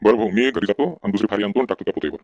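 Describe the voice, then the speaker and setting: A man's voice: fairly low pitch, racing, a little mellow, no echo. A man voicing a loyal, gossipy farmhand in a comedy cartoon, deferential and folksy, with a Varhadi Marathi accent. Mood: resigned, chatty. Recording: dull, lo-fi sound.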